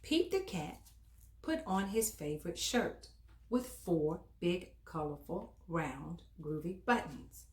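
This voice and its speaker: A woman reads a children's storybook aloud as host, in an expressive, emphatic manner that speaks directly to young listeners. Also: lowish voice, slow. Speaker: children's book reader and host.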